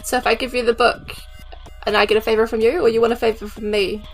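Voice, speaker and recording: A woman's voice: on the high side, level tone, fast talker, soft background music. A woman speaking casually as a player in a tabletop role-playing game, giving her character's replies.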